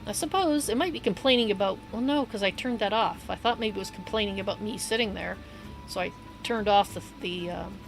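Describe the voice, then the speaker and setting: A woman livestreaming a game, chatting in a loose, rambling way with a hoarse voice and easy laughs. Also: medium pitch, fairly bright tone, poor audio. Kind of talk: casual rambling chat while gaming. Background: upbeat game music.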